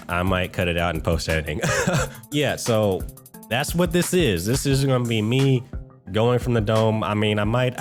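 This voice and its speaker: A man giving an unscripted, casual game review as host, informal and rambling with self-corrections, slightly under the weather with a cold. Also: fairly low pitch. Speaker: gaming video host and reviewer.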